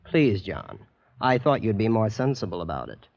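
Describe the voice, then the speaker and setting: An actor playing a genteel, nonchalant young heir in an old radio drama, with self-assured, drawn-out dramatic phrasing. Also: male voice, fairly low pitch, very animated, creaky, a little mellow, full character voice. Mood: blasé, boastful. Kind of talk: 1940s-50s radio drama acting. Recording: dull vintage radio recording.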